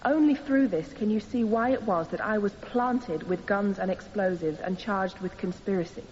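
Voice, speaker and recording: An actress playing an earnest, defiant young woman on trial, speaking deliberately in a radio drama courtroom. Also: mid-range voice, darkish tone, natural voice. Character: earnest young female anarchist defendant defending herself. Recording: muffled, hissy, long hall reverb.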